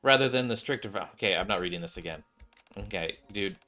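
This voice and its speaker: A man's voice: lowish voice, lively intonation, fast, sharp tone. A man doing a casual game playthrough, reading the story aloud and commenting as he goes, with music behind him.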